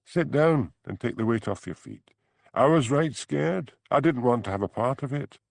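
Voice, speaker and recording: A man's voice: medium pitch, animated, moderate pace, vocal fry, no room sound, voice pushed up. A man playing a shamefaced, anxious Scottish village father in an audiobook. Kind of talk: audiobook character dialogue.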